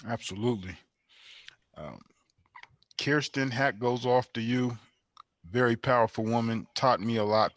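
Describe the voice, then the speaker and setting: A man with a fairly deep voice is recorded very dry. He reflects on himself in a measured, thoughtful way, now and then tagging a phrase with a check-in. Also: no echo. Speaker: monologuist on a panel. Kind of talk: reflective personal testimony.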